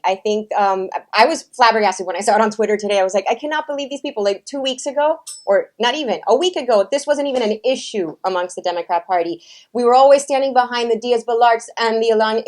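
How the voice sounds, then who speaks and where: A woman's voice: a little high, darkish tone. Emphatic woman hosting a political chat. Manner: emphatic, quick to voice indignation. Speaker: talk show host.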